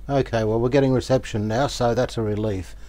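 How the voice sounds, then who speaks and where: A man giving casual, unhurried commentary as he works, thinking aloud. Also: medium pitch, fairly even intonation, dark tone. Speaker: host, radio restorer. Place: small cluttered indoor workshop. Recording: clear background noise, home workshop.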